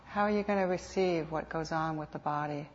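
A woman teaching guided meditation in an unhurried, soothing manner with reflective pauses, on a dull lo-fi recording. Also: deep voice, a little hiss or hum. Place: meditation center. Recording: dull, lo-fi live talk recording.

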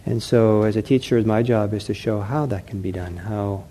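A middle-aged man giving a dharma talk as a meditation teacher, gentle and unhurried, often pausing with fillers and restarting his phrases. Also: lowish voice, a little hiss or hum. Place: meditation center hall.

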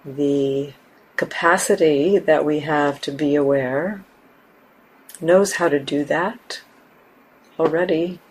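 A woman teaching a guided meditation in a gentle, unhurried manner, leaving long pauses between short phrases. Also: low voice, slightly rough, poor audio. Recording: steady background hiss and room ambience.

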